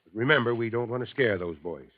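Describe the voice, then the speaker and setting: A man plays a steady, fatherly fire chief in a 1950s radio drama, speaking with calm, kindly authority. The voice sits mid-range and sounds thin and tinny, as if heard over an old telephone line. The sound is completely dry. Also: mid-range voice, quick pace, no echo, lightly acted.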